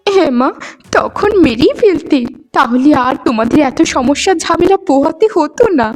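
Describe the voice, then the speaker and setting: A woman's voice: high-pitched, animated, normal speed, a little mellow, soft background music. A woman voicing a meek, rejected young village daughter in a melodramatic cartoon, speaking in a plaintive, pleading way. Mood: pleading, sorrowful.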